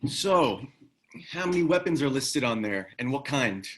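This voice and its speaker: A man's voice: mid-range voice, lively intonation, unhurried, lightly acted. A man reading the part of a wry, easygoing ex-soldier in a screenplay table read, with a casual, laconic delivery. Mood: practical. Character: wry, easygoing ex-military man, protective ally. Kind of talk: screenplay table read, naturalistic dramatic acting.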